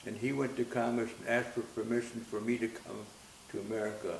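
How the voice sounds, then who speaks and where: Gravelly-voiced man in his nineties. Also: mid-range voice, flat delivery. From Austria. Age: 90s. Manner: gentle, halting, repeats words as he recalls. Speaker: interviewee. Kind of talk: reminiscing interview. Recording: roomy echo with faint hiss.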